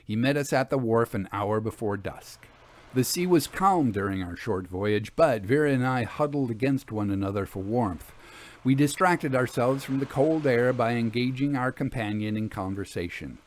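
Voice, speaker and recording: A man reading scripted literary narration as a storyteller, with formal, measured phrasing. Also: mid-range voice, a little mellow, a little hiss or hum.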